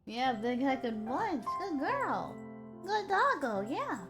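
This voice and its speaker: A woman livestreamer chatting casually over a game, with an excitable, gushing manner. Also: high-pitched, takes it slowly, soft background music. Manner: excitable, gushing, baby-talks to her dog. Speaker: live game streamer. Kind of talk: casual livestream chat while gaming. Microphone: streamer's mic, wearing headphones.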